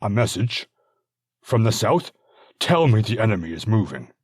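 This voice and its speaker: A man's voice: on the low side, big pitch swings, moderate pace, husky, no echo, pitched above the performer's own voice. A man voicing a frantic anxious warlord father. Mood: eager. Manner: frantic, exclaiming questions. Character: anxious young male warlord and worried father.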